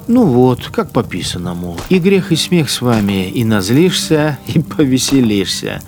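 A man's voice: mid-range voice, very animated, a little slow, a little mellow. An actor playing a cruel, mocking collaborator policeman in a radio drama, speaking his inner monologue as he toys with his victims. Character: cruel, sneering wartime collaborator policeman with machine gun. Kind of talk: dramatic first-person interior monologue.

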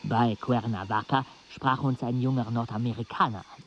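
A man in a radio play acting a weary travel companion who narrates in a measured, reflective way. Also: mid-range voice, steady tone, dull audio, slight background noise, close to an everyday voice. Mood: reflective narration.

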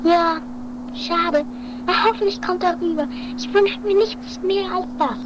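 A woman playing an anxious, high-strung, pleading woman in an improvised trashy radio play, with theatrical, plaintive delivery. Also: unhurried, lo-fi sound. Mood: wistful, hopeful. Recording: very noisy 1980s home-made recording.

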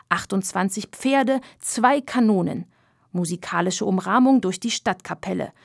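A woman's voice: medium pitch, vocal fry, darkish tone, dry recording, studio quality. A woman narrating a scripted children's audio drama as its storyteller. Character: storyteller of a children's ghost tale.

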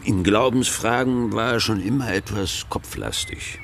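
A gravelly, low-voiced zealous pastor. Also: male voice, measured pace, slight background noise. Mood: confiding, smug. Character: zealous, self-satisfied male pastor recounting divine calling. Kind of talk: radio drama testimony.

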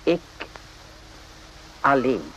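Weary doctor, clipped broken speech. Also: male voice, mid-range voice, sing-song, drawn-out words, a bit bright, exaggerated voice. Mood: resigned. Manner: clipped broken speech, dropping articles and verbs. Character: weary, evasive male Vietnamese army-hospital doctor, stoic. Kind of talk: radio play acting. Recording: noisy old radio play recording.

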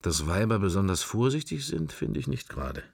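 A man's voice: on the low side, big pitch swings, a little fast, gravelly, slightly rough, no echo, studio quality, full character voice. A man playing a pensive middle-aged husband in a radio drama, wry and furtive, musing in clipped fragments. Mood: distracted musing. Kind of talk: radio drama inner monologue and dialogue.

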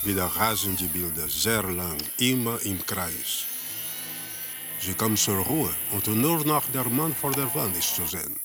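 A man's voice: on the low side, expressive, a little slow. An actor playing a detached observer in a radio drama, narrating what he sees in terse, clipped phrases. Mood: observing. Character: detached male observer describing flickering film images.

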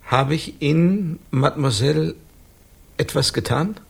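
An actor in a German radio crime drama playing an anguished, formal amnesiac man who speaks haltingly, with a husky, gravelly voice. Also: on the high side, full character voice. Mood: anxious, questioning. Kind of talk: German radio play acting.

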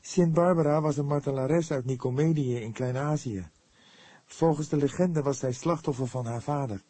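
A man voicing an earnest, devout young woman in an audiobook, speaking patiently and with solemn emphasis, quick to defend herself. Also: on the high side, mellow, slightly rough, no room sound, voice pushed up. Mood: earnest, explaining.

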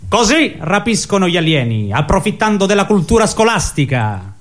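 Animated, high-voiced male sketch comedian. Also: moderate pace. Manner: theatrical, mock-earnest. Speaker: comic stage performer. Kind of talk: absurdist two-man sketch comedy.

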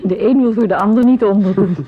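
Radio drama: frail older mother, hesitant, gentle, breathy-rough voice, muffled lo-fi sound. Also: a little high, expressive, moderate pace. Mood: wistful, fond. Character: gentle ailing older mother of grown children, downplaying her illness. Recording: dull lo-fi radio play recording.

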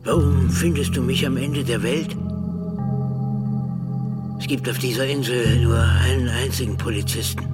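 Slow, resigned recluse, a male actor over music. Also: medium pitch, lightly acted. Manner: laconic, resigned. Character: reclusive, world-weary man hiding as officially dead. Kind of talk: radio drama acting. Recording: loud music behind the voice. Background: loud music.

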